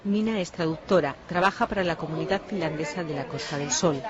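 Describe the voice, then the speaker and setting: A woman reporter reading a scripted television news narration in a measured, even delivery. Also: sits low, muffled recording, a little hiss or hum.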